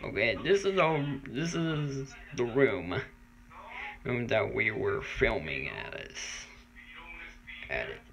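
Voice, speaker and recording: A man's voice: medium pitch, very animated, takes it slowly, creaky, long pauses, dull audio, a crowd in the background. A man giving a casual, improvised house tour, speaking hesitantly and unsurely as he films indoors on a handheld camera. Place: indoors, moving through rooms of a house. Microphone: handheld phone or camera mic. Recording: noisy handheld home video, clicks.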